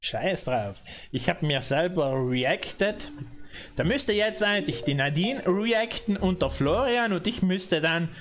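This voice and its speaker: A man's voice: high voice, lively intonation, measured pace, a bit bright, a little hiss or hum. A man in his thirties streaming a game indoors on a headset, rambling and joking with his viewers. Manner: rambling, joking, laughing often. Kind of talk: casual gaming livestream chat. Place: indoor room.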